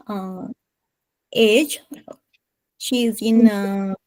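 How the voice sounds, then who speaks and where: A woman's voice: medium pitch, extremely slow, darkish tone, no room sound, low-quality recording. A woman with a Sri Lankan accent, a teacher chatting as co-host of an informal English lesson.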